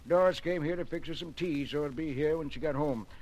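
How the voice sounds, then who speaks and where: A man's voice: on the high side, fairly quick, darkish tone, natural voice, rough recording. A man playing a blunt, nosy small-town sheriff with rustic, folksy talk in an old radio drama. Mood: folksy, welcoming. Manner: rustic drawl, folksy grammar. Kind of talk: old-time radio detective drama acting.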